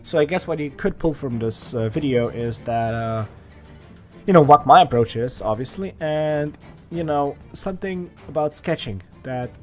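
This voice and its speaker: A man's voice: on the high side, normal speed, faint music behind. A man casually talking over his drawing process, rambling with lots of fillers and restarts. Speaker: art channel host. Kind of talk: casual voice-over commentary on a sketch.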